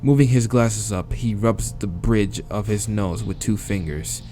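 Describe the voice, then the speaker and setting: A man's voice: fairly low pitch, unhurried, dark tone, faint music behind. A man reading visual novel narration aloud as a let's player, with casual, crude asides.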